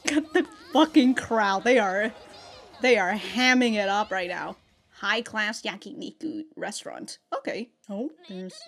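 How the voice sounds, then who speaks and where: A woman giving casual, slangy reaction commentary as the host of a video, in an animated, informal way. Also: medium pitch, measured pace.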